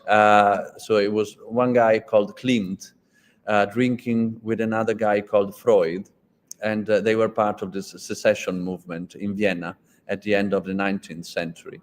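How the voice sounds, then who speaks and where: An Italian-speaking man interviewing a guest in English, halting and restarting often, with many fillers. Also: on the low side, little pitch movement. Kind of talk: conversational interview.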